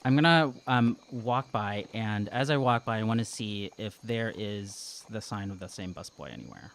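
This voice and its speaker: A man's voice: on the low side, animated, moderate pace, a bit bright, a little hiss or hum. A man in his thirties playing a tabletop game, talking casually and thinking aloud as he goes. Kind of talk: casual improvised game talk. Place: small indoor studio at a table. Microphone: close podcast microphone on stand.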